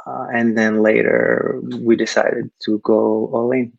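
A man from Serbia, answering interview questions haltingly over a video call, filling pauses with lots of uh. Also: medium pitch, little pitch movement, creaky, dry recording, highs cut off. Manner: hesitant, many uh fillers. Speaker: guest, startup technical founder.

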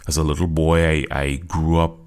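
Very deep-voiced encouraging male coach. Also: flat delivery, normal speed, a little mellow. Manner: earnest, encouraging, talks straight to the listener. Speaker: podcast host and speaking coach. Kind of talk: solo motivational podcast talk.

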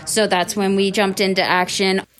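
A woman's voice: mid-range voice, level tone, normal speed, fairly bright tone. A woman speaking as an interviewee, answering in a conversational, unscripted way. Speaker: interviewee, animal rescue store manager.

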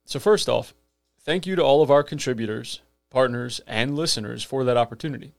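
This is a millennial man hosting his own podcast, speaking in an earnest, scripted way. His voice sits at an ordinary middle pitch. The sound is close and dry, with no room around it. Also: brisk, gravelly, no room sound. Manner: earnest, rhetorical, emphatic lists. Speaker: podcast host.

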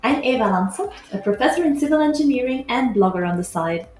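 A woman's voice: a little high, lively intonation, quick pace, darkish tone, soft background music. A woman hosting a podcast, introducing a guest and asking friendly questions with small restarts. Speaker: podcast host, professor. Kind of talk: podcast interviewing. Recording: echoey room.

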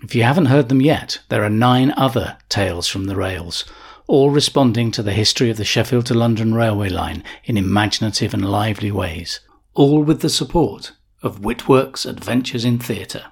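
A man's voice: fairly low pitch, moderate pace, vocal fry, husky. A man giving a scripted announcement as the announcer of an audio drama series. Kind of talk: scripted credits narration.

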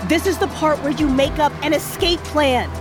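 A woman's voice: sits high, normal speed, music in the background, natural voice. A woman playing a tough, cocky superhero vigilante in an audio drama, with sassy, confident banter. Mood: worried.

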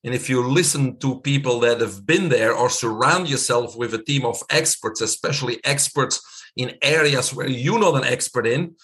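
A man in his fifties from Belgium with a Belgian accent, a guest telling his story in a relaxed, chatty way with many fillers. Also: medium pitch, normal speed. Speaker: guest, physician. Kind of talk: casual storytelling interview.

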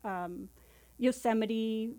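A woman in her fifties from Northern California, a family law attorney, chatting as a podcast guest in a friendly, halting way with frequent restarts. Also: fairly high pitch, dark tone. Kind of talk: casual interview chat.

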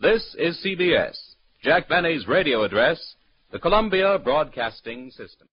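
Animated male 1940s radio announcer, muffled. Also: on the high side, moderate pace. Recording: muffled 1940s radio broadcast, faint music.

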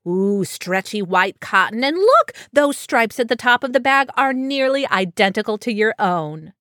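A woman voicing a small, chatty female mouse who is a pushy traveling saleswoman, with a squeaky voice. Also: very animated, a bit bright, no room sound, clean studio sound. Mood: chirpy. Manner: quick, pushy sales patter.

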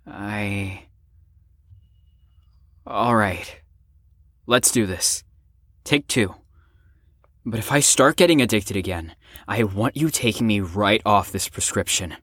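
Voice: low-pitched, slow, long pauses, fairly bright tone, no echo, exaggerated voice. Guarded, sardonic patient in an audio drama, dry recording. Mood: reluctant, subdued. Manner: defensive, dry sarcasm. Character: guarded, sardonic recovering addict in a care facility.

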